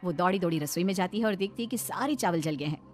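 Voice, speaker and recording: A woman's voice: fairly low pitch, animated, fast. A woman reading scripted narration for a cartoon story, as a storyteller. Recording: faint music behind the voice. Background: background music.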